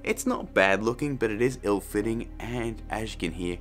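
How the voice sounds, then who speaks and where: A man's voice: on the low side, expressive, moderate pace, soft background music. A middle-aged man presenting a casual scripted tutorial, chatty and jokey. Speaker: video host.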